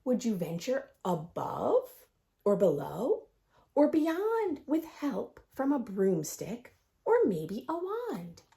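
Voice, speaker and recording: A woman reading a picture book aloud for young children in an expressive, sing-song storytelling manner, adding her own asides. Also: a little high, slow, vocal fry. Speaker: children's read-aloud host.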